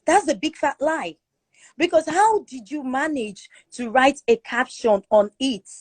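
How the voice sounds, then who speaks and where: A woman's voice: high voice, animated, unhurried, no echo. Emphatic Nigerian woman, dry close sound. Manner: emphatic, repeats points for stress. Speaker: guest speaker in a live audio chat room. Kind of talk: opinionated casual commentary.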